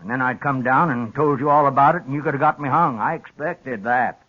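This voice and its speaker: Casting: man to play defiant, plain-spoken old cattleman, medium pitch, rural western American accent, old muffled radio western. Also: fairly quick, natural voice. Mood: insistent, protective. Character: stubborn, blunt old rancher, protective father. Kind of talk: 1950s radio western acting. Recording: dull vintage radio recording.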